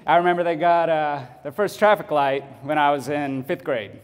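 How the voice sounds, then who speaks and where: A man with a Texan accent tells his life story to a club audience, pausing often with ums and uhs. His voice sits a little high and sounds smooth and clear. The sound carries a long hall reverb. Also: steady tone. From Texas. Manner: halting, many ums and uhs. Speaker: guest speaker. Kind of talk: personal testimony speech.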